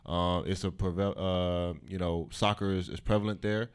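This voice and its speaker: Very deep, flat-voiced coach. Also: male voice, smooth. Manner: halting, many fillers. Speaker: football coach. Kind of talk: casual interview answers.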